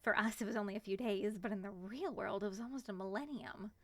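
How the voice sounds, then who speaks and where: A woman playing a playful, bubbly moth-human monster in an ASMR roleplay, coaxing and teasing in an expressive way. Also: mid-range voice, fast talker, no room sound, lightly acted. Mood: curious.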